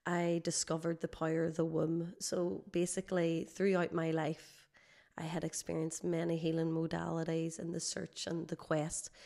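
A woman guest, a holistic healer, explaining her work conversationally in a measured, reflective way, slightly hoarse from a cold. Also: lowish voice, a little mellow.

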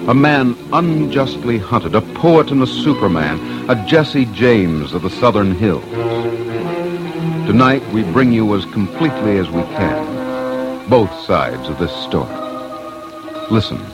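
Male host for vintage radio crime documentary. Low, very animated, solemn delivery over music. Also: a little slow, rough recording. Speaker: host and investigator. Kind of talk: scripted 1950s radio documentary narration. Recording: old radio broadcast with music.

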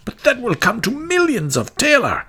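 A man's voice: sits high, lively intonation, a little fast, gravelly, a bit bright, slightly rough, voice pushed up, heavily acted. A man voicing a crowd of alarmed townsfolk in a storybook reading, exclaiming shrilly. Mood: clamoring.

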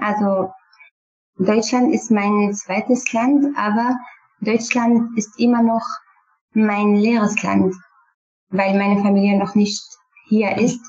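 A woman's voice: mid-range voice, a little mellow. Dull-sounding recording, Kurdish-accented woman from Syria. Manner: simple, careful sentences in a learned language. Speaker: podcast guest. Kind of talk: personal interview talk.

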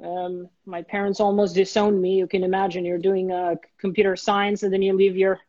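A man, a guest in an interview, telling his career story in an earnest, steady way with many small restarts. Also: high-pitched, moderate pace, no room sound, lo-fi sound. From Bangladesh.

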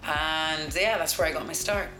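Deep-voiced woman broadcaster. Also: moderate pace. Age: 40s. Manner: lively, confident, practised broadcaster delivery. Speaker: guest, sports broadcaster. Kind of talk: relaxed storytelling interview. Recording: echoey room with music behind.

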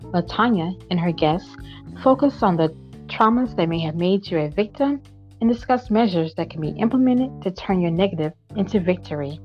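A woman reading a scripted podcast intro in a slow, measured way. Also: on the low side, lively intonation. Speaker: show announcer. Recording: dull lo-fi over guitar music.

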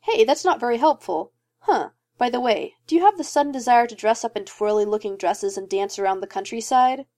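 A woman's voice: fairly high pitch, lively intonation, mellow. A woman doing a manic joking male mercenary. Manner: fast rambling jokes, breaks into laughter. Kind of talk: fan fiction reading.

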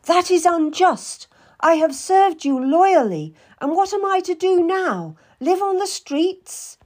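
A woman's voice: a little high, sing-song, takes it slowly, a little mellow, breathy edge, heavily acted. A woman voicing a young female court musician who protests in a strained, pleading voice.

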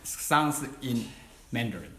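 Older Taiwanese man, halting English, echoey room. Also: mid-range voice, expressive, crowd noise. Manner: halting non-native English with restarts. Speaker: interview guest. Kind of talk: casual conversational storytelling.

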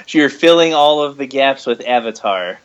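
A man's voice: on the high side, huge pitch swings, a little slow, clean tone. A young man co-hosting a fan podcast, chatting casually and teasing himself with dry jokes. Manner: joking, self-deprecating. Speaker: podcast host.

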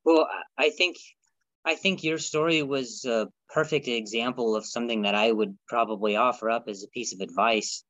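A man who stutters, a podcast guest talking reflectively, halting often with restarts and repeated words. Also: medium pitch, a bit bright, dry recording, muffled recording. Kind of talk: reflective conversation.

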